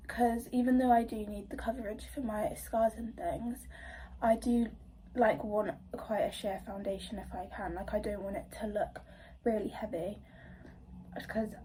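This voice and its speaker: A woman's voice: mid-range voice, warm tone, far from the mic, slight background noise, rough recording. A young woman with a stuffy nose from a cold, chatting casually through a makeup tutorial, rambling with lots of fillers. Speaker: beauty vlogger.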